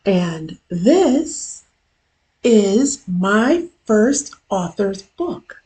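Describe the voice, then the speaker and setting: A woman with a hoarse, worn-out voice, casually chatting as host of her own live stream during a work lunch break, with chatty self-deprecating asides. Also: mid-range voice, darkish tone, poor audio. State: hoarse, lost voice from illness. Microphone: camera mic.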